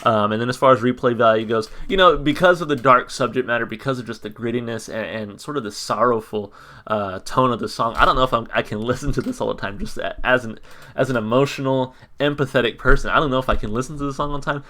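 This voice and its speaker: A man reviewing a song on his channel in a casual, monotone way, full of ums and restarts. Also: medium pitch, fast talker. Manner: hesitant, many ums and restarts. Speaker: music reaction YouTuber. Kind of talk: casual reaction commentary.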